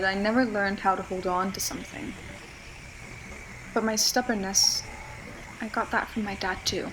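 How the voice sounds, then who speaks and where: A woman's voice: mid-range voice, close to an everyday voice. A young woman playing a stubborn, regretful survivor who confides reflectively in an audio drama monologue. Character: regretful, stubborn young woman missing someone she lost. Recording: clearly noisy, ambient background noise.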